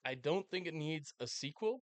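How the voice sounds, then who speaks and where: A man with a smooth, clear voice talks casually as a podcast co-host. He is agreeable and informal, recorded dry with little room sound. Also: on the high side, animated, moderate pace, dry recording. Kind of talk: casual chat ranking movies.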